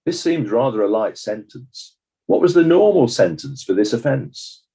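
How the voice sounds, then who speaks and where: Guy acting as a sceptical coroner in a courtroom drama, formal and probing, mellow slightly husky voice. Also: medium pitch, sing-song, moderate pace. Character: stern, sceptical coroner questioning witnesses at an inquest.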